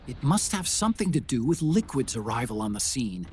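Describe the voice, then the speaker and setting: A man's voice: mid-range voice, sing-song, fairly quick, lightly acted. A man playing an earnest tech expert, noisy background. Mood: earnest, informative. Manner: articulate, explanatory, friendly. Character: Otacon, earnest brainy male tech engineer, mission support. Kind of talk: video game cutscene acting, mission briefing.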